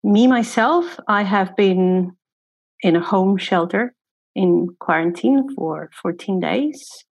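A woman's voice: mid-range voice, vocal fry, slightly rough. Woman telling her story unhurriedly. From Poland. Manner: thoughtful, unhurried, with small grammar slips. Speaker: guest, glacier guide. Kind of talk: casual personal account.

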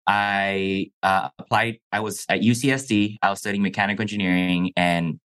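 A young man with a lowish voice, raised in Mexico and a Chinese speaker from birth, talks through his childhood as a podcast guest. He keeps it loose and casual, dropping "bro" and "like" as he goes. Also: steady tone, moderate pace, fairly bright tone. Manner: laid-back, says "bro" and "like" often. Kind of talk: casual interview storytelling.

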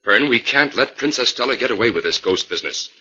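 An actor playing a calm, decisive scientist detective in a 1940s radio drama, speaking with measured authority. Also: male voice, mid-range voice. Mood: investigating. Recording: dull 1940s radio recording.

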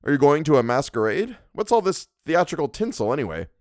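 A man's voice: a little high, big pitch swings, fairly quick, a little mellow, dry recording, clean studio sound, voice pushed up. A man voicing a jaunty young male cavalry officer in an audiobook, with a brash, teasing, breezy manner.

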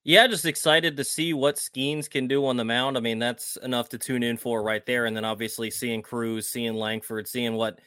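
A man's voice: medium pitch, steady tone, normal speed, hardly any pauses, bright, forceful tone, clear, resonant tone, no room sound, clean studio sound. A man co-hosting a sports radio show, speaking in a casual conversational style.

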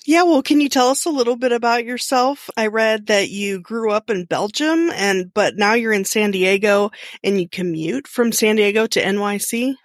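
A woman's voice: medium pitch, moderate pace, no echo. Woman podcast host, very dry close sound. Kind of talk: podcast interview hosting.

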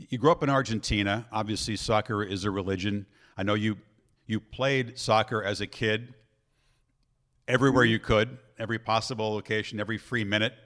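A low-voiced man hosts the interview, setting up each question with a careful recap of what his guest just said. He speaks as a sports announcer, measured and deliberate. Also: fairly bright tone. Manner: measured, thoughtful framing of questions. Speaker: interview host, American football announcer. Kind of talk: interview conversation.

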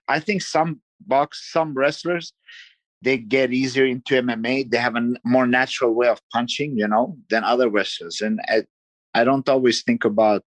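A man who grew up in Sweden, a guest fighter answering interview questions in a halting, thoughtful way. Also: medium pitch, dry recording, rough recording. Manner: halting, restarts often, thoughtful.

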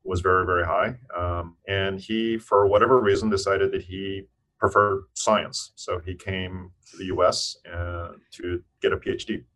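A man in his fifties with a very deep voice, a guest on an interview. He answers in a thoughtful, measured way, often pausing and restarting his sentences. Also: steady tone, a bit bright, rough recording. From United States (Eastern Tennessee). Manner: thoughtful, with frequent restarts and fillers. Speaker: physician and scientist guest. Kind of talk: interview conversation.

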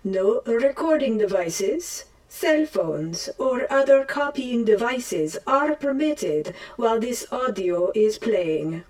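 A woman playing a formal mission-log assistant in an audio drama, making measured, procedural announcements. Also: a little high, animated, darkish tone, distant mic. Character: formal female mission-log assistant of a time-travel force.

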